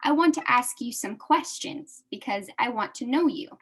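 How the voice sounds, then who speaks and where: A young American woman teaching a beginner English class, speaking slowly and repeating each phrase for her adult learners. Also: fairly high pitch, expressive, slightly rough, low-quality recording.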